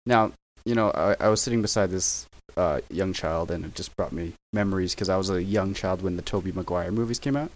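A man's voice: sits low, fairly quick, poor audio. A young man in his twenties, a podcast guest on a video call, talking in a rambling, self-correcting way and laughing easily. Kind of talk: casual chat over video call.

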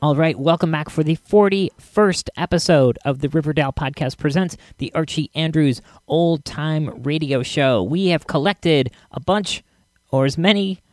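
A man hosting a podcast, introducing the episode in a casual, chatty way with drawn-out emphasis. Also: fairly high pitch, no echo, clean studio sound. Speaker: podcast host.

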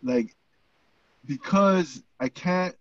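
A man from the United States co-hosting a podcast, talking casually and haltingly with many fillers. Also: high voice, no echo, muffled recording. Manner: casual, halting, lots of fillers like "you know". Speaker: podcast co-host.

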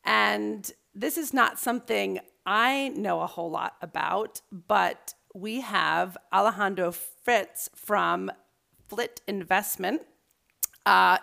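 A woman's voice: medium pitch, vocal fry, fairly bright tone. A woman hosting a podcast, talking in an upbeat, conversational way with occasional fillers. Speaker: podcast host. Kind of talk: podcast intro and interview.

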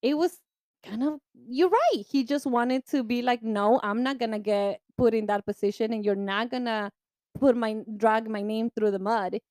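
A woman podcast co-host with a Spanish-speaking accent and a slightly high voice. She chats in a lively way, laughs a lot, and slips into Spanish. The recording is close and dry. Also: moderate pace, no room sound. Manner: lively, laughing, bilingual code-switching. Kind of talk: casual chat.

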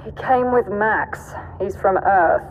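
An actress playing a hardened resistance fighter in a sci-fi audio drama, blunt and matter-of-fact. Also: female voice, medium pitch, slow pace, natural voice. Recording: dull, reverberant, faintly noisy.

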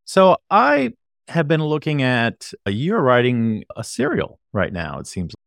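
A man's voice: mid-range voice, wildly swooping pitch, measured pace, vocal fry, fairly bright tone, no room sound. Male interviewer with dry sound. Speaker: podcast interviewer. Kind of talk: casual interview questions.

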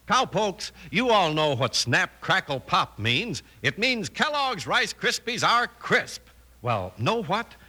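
A man's voice: high voice, very animated, slow pace, pushed and bright, slight background noise. An American man announcing and narrating in scripted 1950s radio style, with a polished showman's delivery. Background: music.

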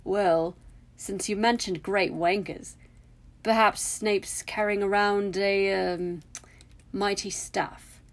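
A woman's voice: medium pitch, fairly even intonation, slow. A smug young wizard lad from a storybook, played by a woman reading aloud. He drawls his words in a snide, needling way, teasing and self-satisfied.

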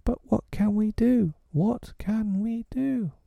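A man's voice: slow pace, vocal fry, mellow, no room sound, lo-fi sound, heavily acted. A man voicing a band of frightened young boys crying out together. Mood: panicky. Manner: panicky, high and pleading.

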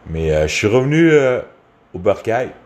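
A man's voice: lowish voice, big pitch swings, normal speed, darkish tone. A man from Quebec with a Québécois accent, giving a casual, rambling rant in a crude, profane, hesitant way. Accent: Québécois French.

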